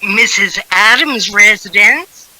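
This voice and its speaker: A woman's voice: mid-range voice, expressive, slow pace, sharp tone, no echo, heavily acted, low-quality recording. A woman playing a formal, guarded, matter-of-fact figure answering a household telephone in an old-time radio drama. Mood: polite, guarded.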